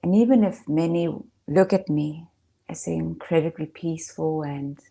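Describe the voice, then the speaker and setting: A woman speaking as a meditation guide, in a soft, breathy, hushed voice with long pauses. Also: low voice. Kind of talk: gentle guided meditation talk.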